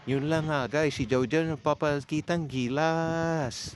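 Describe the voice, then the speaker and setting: A man's voice: fairly high pitch, fairly even intonation, takes it slowly. A man with a Filipino accent narrating a vlog in a casual, chatty way, speaking straight to his viewers. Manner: informal, chatty, addresses viewers. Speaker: vlogger. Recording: clearly noisy amateur recording.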